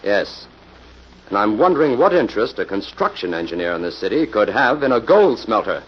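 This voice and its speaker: A man's voice: on the low side, very animated, brisk. A man playing a composed, determined investigator in an old-time radio drama. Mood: probing. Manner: composed, probing, businesslike. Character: calm, determined male investigator working with police. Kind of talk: 1940s radio acting. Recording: muffled, noisy old radio recording.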